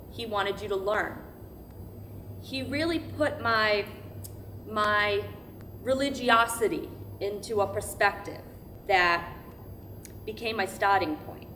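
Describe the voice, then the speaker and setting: Slow-talking, devout woman played by an actress. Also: medium pitch, bright, forceful tone, a little hiss or hum. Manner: halting, repeating words, emphatic. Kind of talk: verbatim theatre monologue, staged reading.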